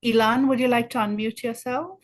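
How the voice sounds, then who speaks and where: A woman with a fairly high voice moderates a webinar, calling on people with brief, polite prompts. Her sound is dry and close. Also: steady tone, brisk, no echo. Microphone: video call.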